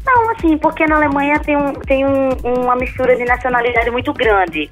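A woman's voice: high voice, lively intonation, fairly quick, faint music behind. A woman in her twenties calling into a radio show by phone, chatting casually and a little shyly. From Germany. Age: 20s. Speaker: caller. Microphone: phone line.

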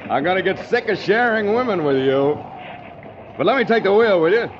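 A brash, fun-loving American first mate in a vintage radio drama. The voice is slightly high and swings about in lively fashion, eager and slangy. The recording is old and muffled. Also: male voice, brisk, background noise. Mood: jovial, eager. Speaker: supporting actor in a radio adventure serial. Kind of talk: 1940s radio adventure acting.

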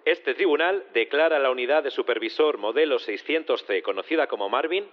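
An actor as a formal courtroom judge, muffled sound. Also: male voice, medium pitch, animated, natural voice. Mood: formal, official. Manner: formal, official, measured. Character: formal male judge presiding over a courtroom. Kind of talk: audio drama acting.

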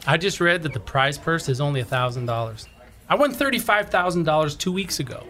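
A man's voice: fairly high pitch, expressive, fairly quick, pushed and bright. A man playing a grumbling, self-important pro angler and impatient husband in a radio play. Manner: impatient, complaining.

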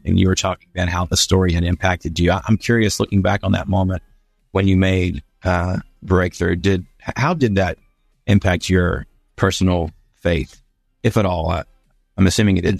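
A man interviewing in a conversational way, with a tentative, halting manner of putting questions. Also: sits low, steady tone, vocal fry, no echo.

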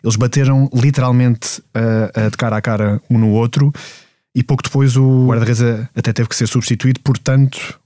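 A man's voice: medium pitch, steady tone, moderate pace, warm tone, dry recording, clean studio sound. A man with a European Portuguese accent hosting a sports podcast in a conversational presenting style. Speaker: podcast host.

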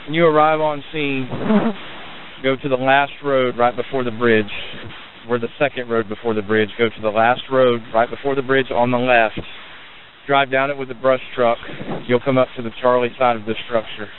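A man's voice: mid-range voice, fairly even intonation, normal speed. A man working as a fire incident commander, giving clipped procedural orders over a two-way radio. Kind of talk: terse emergency radio traffic. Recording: noisy narrowband fire radio traffic.

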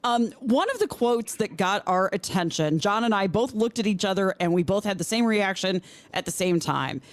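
A woman's voice: medium pitch, animated, moderate pace, no room sound. A woman with an American accent hosting a radio talk show in a chatty style.

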